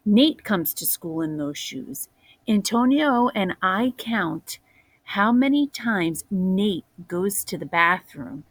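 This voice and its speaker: Woman reading a kids picture book out loud, real slow and careful, dry close sound. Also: fairly low pitch, no room sound. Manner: deliberate, pausing to explain pictures to listeners. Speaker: storybook reader, teacher. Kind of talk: read-aloud of a children's picture book.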